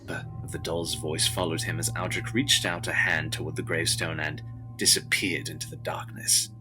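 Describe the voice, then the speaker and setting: A man narrating a scripted gothic audio drama with solemn, dramatic and deliberate phrasing. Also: low-pitched, little pitch movement, poor audio. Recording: music behind the voice.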